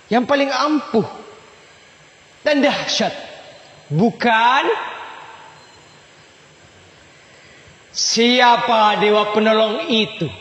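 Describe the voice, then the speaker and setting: An actor playing a pompous, scheming fake university rector in a satirical stage monologue, declaiming grandly with drawn-out words and sudden swings. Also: male voice, very high voice, fairly bright tone, heavily acted. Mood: rhetorical. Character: pompous scheming fake-degree university rector, self-styled saviour god. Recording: echoey hall, reverberant stage sound.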